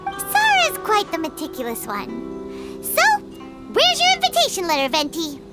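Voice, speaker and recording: A woman voicing a tiny, chirpy, bossy fairy companion with a squeaky childlike voice in a video game. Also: huge pitch swings, a little slow, exaggerated voice. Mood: chirpy, curious. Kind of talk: animated game dialogue. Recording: loud music behind the voice.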